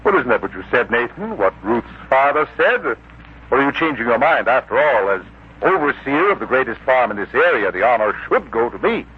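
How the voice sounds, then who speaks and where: A man's voice: mid-range voice, very animated, moderate pace, slightly rough. An actor playing a pompous, self-important man of standing in a theatrical 1940s radio drama style. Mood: offended. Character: pompous self-important male farm overseer and host of guests. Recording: muffled, noisy 1940s radio recording.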